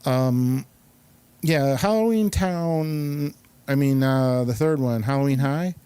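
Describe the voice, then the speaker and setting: A man with a clean, smooth voice at a middling pitch hosts a relaxed group discussion. He talks casually and offers opinions freely as he steers the conversation. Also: slow pace. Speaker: show host. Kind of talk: casual round-table chat about films and TV.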